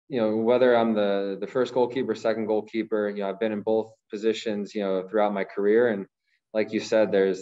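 A man in his thirties from Ohio, a professional goalkeeper answering press conference questions in a measured way, often repeating words as he thinks. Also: lowish voice, dark tone. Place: small room, branded backdrop. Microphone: video call, masked. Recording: slightly dull lo-fi audio.

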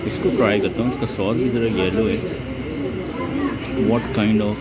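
Food-tasting man over loud traffic. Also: on the low side, fairly even intonation. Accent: Pakistani Urdu. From Pakistan. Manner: unhurried, tasting and commenting, mixing in English. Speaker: food vlogger. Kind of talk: casual food review. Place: restaurant, by a busy road. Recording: loud street and traffic noise. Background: traffic and city ambience.

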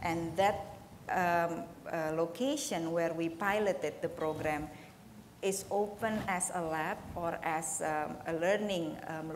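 Low-voiced woman panelist with lots of ums. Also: long reverb tail, a little hiss or hum. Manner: hesitant, many ums, earnest. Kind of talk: panel discussion answer. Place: conference room.

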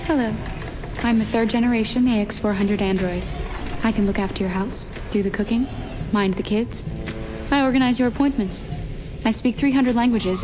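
A woman playing a young female android, polite, innocent and earnest, in an animated sci-fi film. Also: mid-range voice, noisy background, natural voice. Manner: polite, earnest, precisely articulated.

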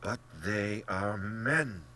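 A man's voice: a bass voice, animated, normal speed, a bit bright, a little hiss or hum. A man voicing an indignant, morally outraged young hunter in an audiobook, stiff and terse with heat underneath. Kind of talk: dramatic audiobook character acting.

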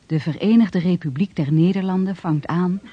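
A man's voice: sits high, normal speed. A man narrating a historical radio play in a solemn, declamatory manner. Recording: dull vintage radio recording with music.

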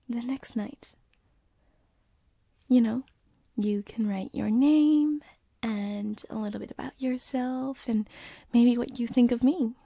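Woman acting as a female sleep paralysis demon, ASMR roleplay, tender, hesitant, mellow breathy whisper, very dry close binaural mic. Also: on the high side, dry recording, exaggerated voice. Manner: intimate, hesitant, slow and soothing. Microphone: close binaural microphone.

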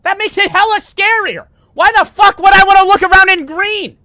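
A young man streaming a horror game, panicking, swearing and yelling in fright while reading notes aloud. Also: very high-pitched, normal speed. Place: small room at home. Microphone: desk mic on stand.

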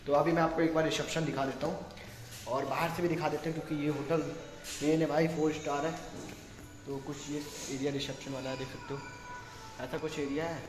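A man's voice: a little high, level tone, a little fast, low-quality recording. A young man chatting casually and informally to viewers as a travel vlogger, using slang, on a handheld phone. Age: young adult. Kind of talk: casual vlog chat to viewers. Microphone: phone held at arm's length.

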